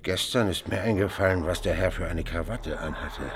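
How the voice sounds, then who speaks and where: Frightened peasant under questioning in a radio drama: low, gravelly, evasive, deferential, halting. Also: male voice, slight background noise. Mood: fearful, evasive. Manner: halting, repetitive, servile. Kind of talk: period radio play acting.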